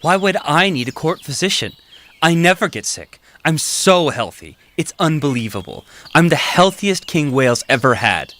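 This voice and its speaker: A man's voice: a little high, big pitch swings, moderate pace, a bit bright. A man playing a vain, helpless, complaining king in a comic stage-style audio drama. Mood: boastful. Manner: pompous, boastful, complaining. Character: vain, whining, helpless old Welsh king.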